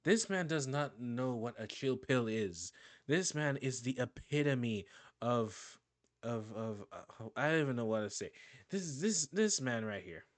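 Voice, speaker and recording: A man's voice: mid-range voice, lively intonation, a little slow, no room sound. A man giving casual, rambling reaction commentary on a video, often restarting and laughing. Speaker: YouTube reaction host.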